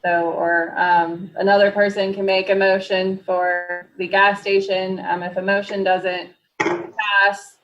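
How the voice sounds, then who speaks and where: A woman's voice: mid-range voice, flat delivery, slow, fairly bright tone, muffled recording. A woman working as a board secretary, calling roll and explaining procedure in a matter-of-fact way at a public meeting.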